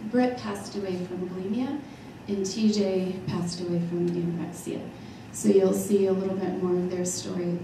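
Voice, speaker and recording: A soft-spoken woman in her thirties speaking earnestly on a college panel, with small laughs between points. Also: medium pitch, a little hiss or hum. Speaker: panelist, eating disorder therapist. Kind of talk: panel talk to an audience. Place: large hall at a college panel table. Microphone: handheld mic, heard from afar. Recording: echoey hall, distant mic.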